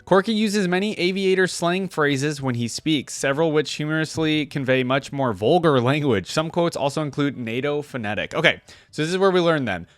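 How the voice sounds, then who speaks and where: A man's voice: fairly high pitch, lively intonation, moderate pace, hardly any pauses, no echo. A man giving casual reaction commentary as a video host, talking in an informal, rambling way.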